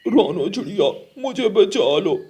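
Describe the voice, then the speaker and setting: Cartoon crow, male rogue, acted out: husky, slow, greedy and treacherous, exaggerated delivery. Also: medium pitch, very animated, a little mellow, full character voice. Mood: desperate. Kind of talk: Hindi children's cartoon acting.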